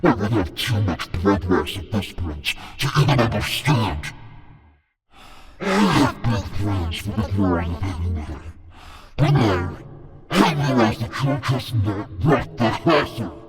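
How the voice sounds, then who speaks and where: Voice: low-pitched, very animated, measured pace, long pauses, soft background music. An actor voicing a vengeful, feral demonic beast, taunting and ranting in a horror audio drama. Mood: menacing, taunting. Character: feral demonic pink-skinned female beast, vengeful music producer.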